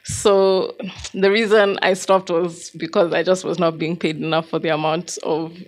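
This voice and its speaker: A woman's voice: fairly low pitch, flat delivery, moderate pace. A woman casually reflecting in a group discussion, tentative and feeling out her thoughts as she goes. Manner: tentative, thinking aloud. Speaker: writer, former teacher.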